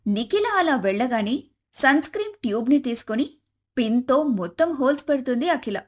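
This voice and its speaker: High-pitched woman storyteller. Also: lively intonation, normal speed. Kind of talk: scripted moral-story narration for animation.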